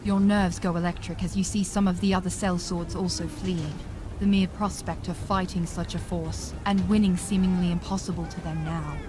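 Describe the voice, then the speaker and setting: A woman's voice: lowish voice, fairly even intonation, moderate pace, noisy background. A woman narrating a scripted fantasy audio adventure in a grave, dramatic storytelling manner.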